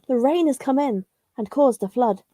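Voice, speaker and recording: A woman's voice: high-pitched, expressive, normal speed, dark tone. A woman voicing small, polite, homeless worms in a children's storybook, with sing-song pleading. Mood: politely pleading.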